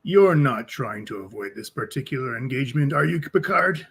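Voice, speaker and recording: A man playing a stern, brusque senior admiral in a radio play, with a gravelly voice. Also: mid-range voice, lively intonation, normal speed, a bit bright, heavily acted. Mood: stern, needling.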